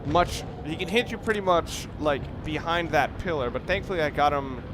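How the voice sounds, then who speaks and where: A man's voice: fairly high pitch, moderate pace, noisy background. Rambling young man commenting over gameplay. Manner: rambling, self-correcting. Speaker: let's play commentator.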